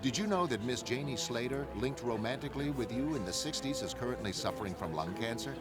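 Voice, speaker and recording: A man's voice: mid-range voice, expressive, fast talker, natural voice. A man voicing a pushy, relentless reporter in a motion comic, needling and insistent. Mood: accusing. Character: pushy, accusing male investigative reporter, relentless. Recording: background music under the voice.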